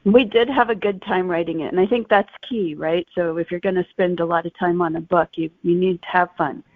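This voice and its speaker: A woman's voice: lowish voice, steady tone, a little fast, dry recording. A woman author being interviewed, explaining things conversationally over a thin phone-like connection. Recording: thin phone-like, dry sound.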